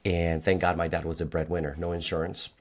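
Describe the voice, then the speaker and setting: A man's voice: low voice, level tone, fairly quick. A man around forty with a Colombian accent and Spanish as his first language, talking conversationally as a podcast guest. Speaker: podcast guest, financial agent. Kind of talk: conversational personal storytelling.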